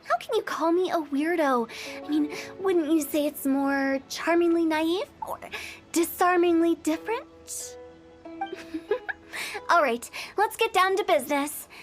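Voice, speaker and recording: A woman's voice: very high voice, unhurried. A woman voicing a playful, theatrical young funeral director who loves pranks, in a game cutscene. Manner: teasing, theatrical. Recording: game audio with faint music.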